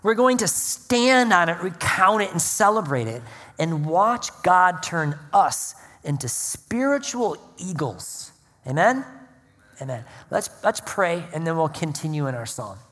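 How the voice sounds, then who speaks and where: An earnest pastor preaching to his congregation, mixing prayer and scripture reading with plain explanation. His voice sits at a middle pitch and rings out with the long reverb of a church sanctuary. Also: very animated, a little slow, fairly bright tone. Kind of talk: sermon.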